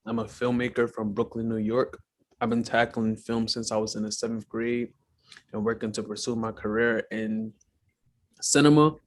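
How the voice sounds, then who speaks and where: A man in his twenties from Guyana, a filmmaker speaking candidly and relaxed as a podcast guest. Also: on the low side, normal speed. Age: 20s. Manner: candid, relaxed, laughs between thoughts. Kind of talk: casual interview talk.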